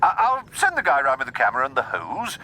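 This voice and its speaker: An actor playing an excitable, gushing newspaper journalist who stammers through florid slang in a radio comedy. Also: male voice, mid-range voice, fast talker, exaggerated voice. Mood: excited, babbling. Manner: stammering, florid, swooping delivery. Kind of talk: radio comedy drama acting.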